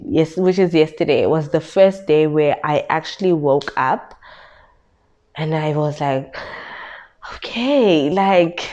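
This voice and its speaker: A woman's voice: deep voice, darkish tone. Congested young woman from South Africa. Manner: repetitive, emphatic retelling. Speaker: vlogger. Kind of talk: casual vlog storytelling.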